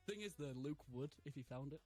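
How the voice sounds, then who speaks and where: Young male streamer, lighter-pitched voice, self-mocking, giggly, gaming chatter. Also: darkish tone. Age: 20s. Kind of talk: casual gaming chat.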